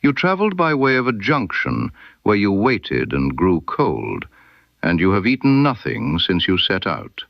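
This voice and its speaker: Gentleman detective, played by a male narrator: Victorian, cool irony, incisive, low but very varied pitch, audiobook storytelling. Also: wildly swooping pitch, a little slow, vocal fry, dry recording, highs cut off, full character voice. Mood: keen, deductive. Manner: precise, dry, incisive. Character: brilliant, coolly ironic Victorian gentleman detective.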